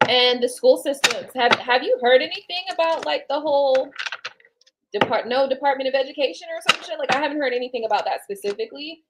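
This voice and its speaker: A woman's voice: high-pitched, fast talker, very noisy. A woman reading tarot cards on a casual live stream, rambling and chatting to her viewers and to spirit. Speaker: tarot card reader.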